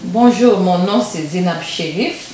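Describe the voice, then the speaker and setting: A woman from Guinea, answering interview questions in a halting way with simple phrasing. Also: lowish voice, expressive, warm tone. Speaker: interview guest. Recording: roomy echo with faint hiss.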